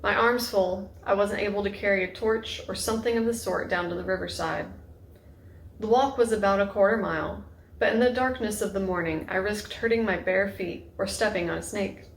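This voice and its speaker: A woman author giving a dramatic reading of a narrative story in a creaky voice. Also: mid-range voice, a little fast. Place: indoors in a bookshop. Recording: echoey room, faint noise.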